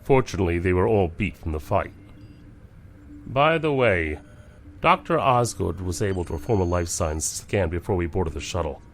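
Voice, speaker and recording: Deep-voiced starship captain, acted by a man. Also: expressive, moderate pace, slight background noise. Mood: commanding. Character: commanding but weary starship captain, humane. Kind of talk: science fiction audio drama acting.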